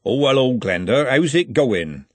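A man's voice: mid-range voice, big pitch swings, a little slow, no echo. A man voicing a friendly, mildly puzzled male dwarf craftsman in an audiobook. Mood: friendly, surprised. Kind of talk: audiobook character acting.

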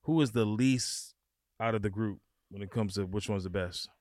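A man's voice: lowish voice, normal speed, darkish tone, no room sound, clean studio sound. A man, hosting a podcast in a casual interviewing style. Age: 30s. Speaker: podcast host.

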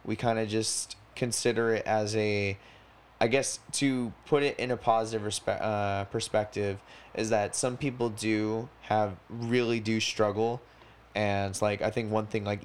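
A man's voice: on the low side, a little hiss or hum. A man in his twenties chatting casually as a podcast co-host, rambling and slangy, swearing freely. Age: 20s. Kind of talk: casual chat.

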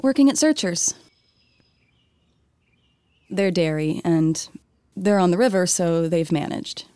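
An actress playing a gentle, welcoming farm mother of the 1890s in an earnest period drama. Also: lowish voice, animated, slow, clear, resonant tone, dry recording. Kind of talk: period film drama acting.

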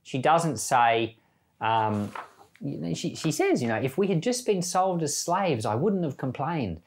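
A middle-aged man who reads a Bible passage aloud, then talks it through in a relaxed way, thinking aloud with small fillers. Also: fairly high pitch, measured pace, slight background noise. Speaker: church Bible reader. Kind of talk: scripture reading and informal commentary.

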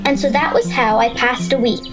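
A woman playing a curious, excitable young Irish girl, acting and narrating her adventure in a wide-eyed, expressive way for an audio drama. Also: a little high, a little fast, natural voice. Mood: dreamy, content. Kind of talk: audio drama acting and first-person storytelling. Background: music.

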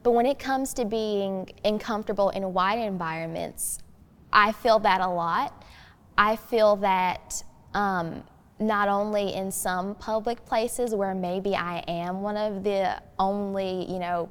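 A woman's voice: mid-range voice, steady tone, unhurried, fairly bright tone, clear, resonant tone. A teenage girl giving thoughtful discussion as a youth guest, speaking in an articulate, earnest, explanatory way. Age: teens. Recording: long hollow room reverb.

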